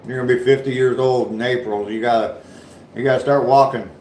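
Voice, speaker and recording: A man from Ohio with a mild southern Ohio accent and a deep voice, chatting casually on his livestream and answering viewers' comments. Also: steady tone, a little fast, a little mellow, roomy sound, a little hiss or hum, low-quality recording. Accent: southern Ohio, slight Appalachian touch. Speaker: livestream host.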